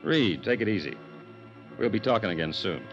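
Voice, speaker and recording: An actor playing a tired, tough but caring police sergeant in a 1950s radio drama, talking in blunt, terse slang. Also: male voice, fairly low pitch, expressive, moderate pace, music in the background. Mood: gently concerned. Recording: dull, muffled vintage radio recording.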